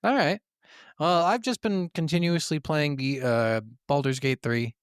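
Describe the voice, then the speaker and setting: Higher-pitched man, informal gaming podcaster. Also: a little slow, clear, resonant tone, dry recording, clean studio sound. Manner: informal, profane. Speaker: podcast host. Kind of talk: casual gaming podcast chat with some scripted narration.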